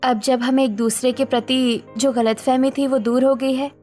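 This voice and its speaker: A woman's voice: high-pitched, level tone, normal speed, warm tone, soft background music, close to an everyday voice. A woman voicing a self-assured working daughter-in-law in a cartoon story, firm and earnest. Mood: sincere, supportive.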